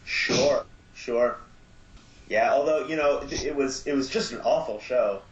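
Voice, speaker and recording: A man appearing as a guest on a podcast, speaking casually and haltingly with lots of ums. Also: mid-range voice, roomy sound, muffled recording, a little hiss or hum. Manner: halting, many ums, easygoing. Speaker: guest, audio drama producer. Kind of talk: casual chat.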